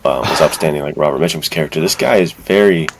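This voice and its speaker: A man's voice: very deep voice, fast, creaky, background noise. A man co-hosting a podcast, talking casually and enthusiastically about films with strong opinions. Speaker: podcast co-host. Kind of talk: casual film discussion.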